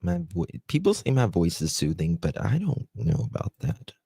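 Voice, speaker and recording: A man with a Southern US accent hosting a live stream, chatting slowly with viewers and reading their comments aloud. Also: fairly low pitch, huge pitch swings, vocal fry, warm tone, husky, dry recording. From United States. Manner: slow, chatty, drawling, talks to viewers by name. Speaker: livestream host.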